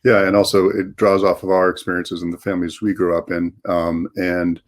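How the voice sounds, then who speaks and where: Middle-aged man, deep voice, co-author casually describing an audio drama. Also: level tone, fairly quick. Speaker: co-author of a fiction podcast. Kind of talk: casual promotional talk about a story.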